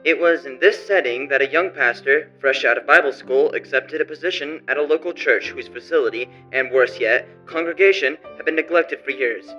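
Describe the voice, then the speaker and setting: A man's voice: a little high, fairly quick, few pauses, sharp tone, soft background music. A man reading scripted narration for an old-style radio play. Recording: dull lo-fi recording.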